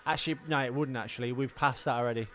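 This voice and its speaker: A man's voice: medium pitch, fairly even intonation, very fast, bright, forceful tone, faint music behind. A man hosting a casual film podcast, bantering in a sweary, self-deprecating way. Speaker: podcast host.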